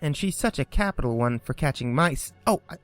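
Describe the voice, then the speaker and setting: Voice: medium pitch, animated, normal speed, faint music behind, voice pushed up, close to an everyday voice. A reader doing a flustered chatty young girl. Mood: eager.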